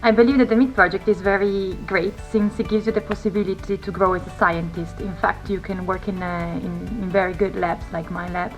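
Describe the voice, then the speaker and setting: A woman's voice: medium pitch, steady tone, brisk, hardly any pauses, soft background music, poor audio. A young woman from northeast Italy, a PhD researcher speaking carefully in a video testimonial, with frequent fillers and restarts.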